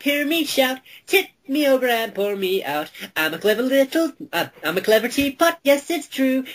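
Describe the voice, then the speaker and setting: A woman joking casually with friends in a group voice chat. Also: a little high. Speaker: cast member of a fan voice-acting project.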